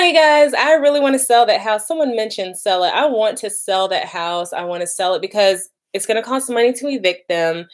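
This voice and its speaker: A woman, chatting casually on a live stream and reading out viewer comments. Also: medium pitch. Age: 30s. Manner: chatty, lots of "like" and "y'all", laughs easily. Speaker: vlogger doing a live stream.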